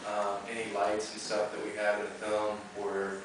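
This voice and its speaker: A man speaking casually and haltingly as a film director answering questions, often repeating himself. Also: fairly low pitch, completely flat delivery. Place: movie theater, audience present. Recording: very echoey theater, distant mic, noisy.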